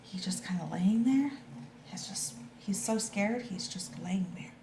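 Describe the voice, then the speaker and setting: A woman's voice: mid-range voice, unhurried, dark tone. A woman reading a picture book to children and explaining it informally in simple, child-directed words. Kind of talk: read-aloud with informal explanations. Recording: echoey room with clear background noise.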